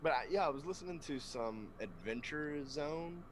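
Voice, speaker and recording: A man's voice: fairly high pitch, normal speed, slight background noise. A man co-hosting a podcast, chatting casually, laughing often and slipping into quoted voices as he tells stories. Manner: laughing, animated storyteller, slips into quoted voices. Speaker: podcast co-host. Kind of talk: casual chat.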